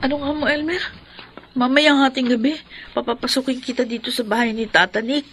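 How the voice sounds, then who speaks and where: A woman's voice: high voice, steady tone, a little fast, over music. A young woman's voice, an actress playing a battered, anxious housemaid who gives in to her boyfriend, in radio drama style. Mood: anxious, yielding.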